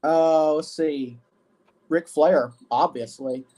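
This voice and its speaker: Earnest young man, halting restarts. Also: on the high side, steady tone, dark tone. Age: 20s. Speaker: wrestling commissioner guest. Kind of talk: casual interview.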